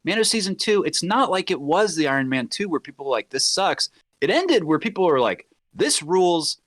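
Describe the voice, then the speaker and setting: A man's voice: high-pitched, very animated, brisk, fairly bright tone, no room sound. A man bantering casually on a podcast panel, repeating himself for emphasis and acting out imagined quotes as he goes.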